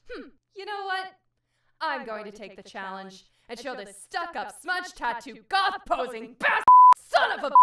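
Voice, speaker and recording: Woman playing a high-pitched, feisty game show contestant. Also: bright, forceful tone, recorded from a distance, heavily acted. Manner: indignant, combative. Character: feisty, hot-tempered little female game show contestant. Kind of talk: comedy audio drama acting. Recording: echoey room, off the mic.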